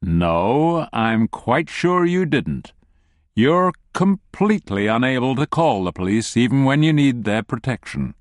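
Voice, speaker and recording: A man voicing a calm, cruel male thug who speaks in a soft, unhurried, mocking way. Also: mid-range voice, dry recording, clean studio sound. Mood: quiet menace.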